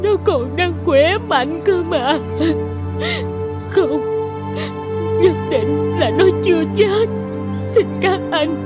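A distraught old mother from a cartoon, wails and pleads in a very high voice. Her words come slowly and drawn out. Loud music plays behind her. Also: expressive, full character voice. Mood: desperate pleading. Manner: wailing, pleading, drawn-out delivery.

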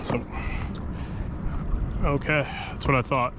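A man talks casually to camera while fishing from a kayak on the open sea, wondering aloud what he has caught. His voice sits in a middle range, picked up by a mounted action camera. Wind and water noise stay clearly audible behind him. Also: medium pitch, slow. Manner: relaxed, thinking aloud, unsure about the catch. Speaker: fishing vlogger.

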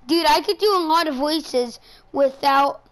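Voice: high-pitched, unhurried, crowd noise. A brash, swearing gamer hosting a video and chatting casually with friends over voice chat. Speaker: video game streamer and host.

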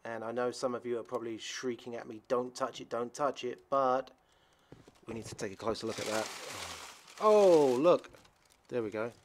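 A man's voice: mid-range voice, moderate pace, long pauses, slight background noise. A man casually narrating as he explores an abandoned hospital, chatty and curious, explaining what he finds. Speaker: urban explorer host.

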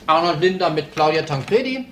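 A man acting a matter-of-fact male police technician in a crime radio drama. His voice sits fairly high and his delivery is casual and dry, over clear noise. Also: animated, fairly quick, lightly acted. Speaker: actor.

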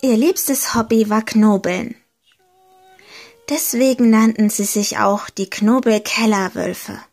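A woman reading a short homemade fairy tale aloud, slow and deliberate, leaning gently into key words. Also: on the high side. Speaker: storyteller. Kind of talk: slow storybook narration.

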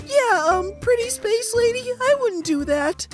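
A sweet, dim young male dragon speaks in a squeaky, very high cartoon voice. He is naive and hesitant, pleading with big swoops of pitch. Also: expressive, measured pace, soft background music. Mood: timid. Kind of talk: cartoon comic dub.

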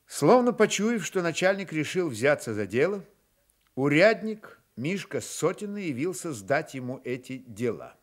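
A man's voice: on the high side, sing-song, measured pace. A man reading scripted literary narration for a radio drama.

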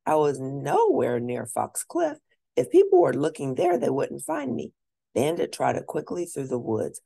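A woman with a low, husky voice reads a children's story aloud. Her pitch swings very widely as she narrates, and she lingers on key words with deliberate expression. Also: wildly swooping pitch, dark tone, dry recording, clean studio sound. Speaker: storyteller reading a children's book.

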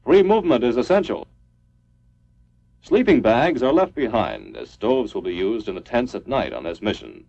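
A man's voice: mid-range voice, big pitch swings, moderate pace, creaky, warm tone. A man reading a scripted 1950s military training film narration in a formal, clipped, instructional manner. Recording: dull lo-fi 1950s film soundtrack.

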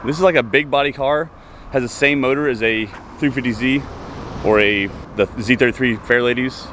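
A man's voice: mid-range voice, moderate pace, fairly bright tone. A man in his thirties giving casual, enthusiastic commentary as a car vlogger, talking to his viewers. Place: outdoors by roadside car lots and shops. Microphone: handheld camera mic. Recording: outdoor camera audio with street noise.

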